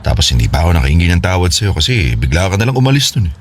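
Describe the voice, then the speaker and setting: Very deep-voiced, regretful husband acted by a man. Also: sing-song, very fast, a little hiss or hum. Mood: apologetic. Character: regretful, earnest separated husband and devoted father. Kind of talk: Filipino radio drama dialogue.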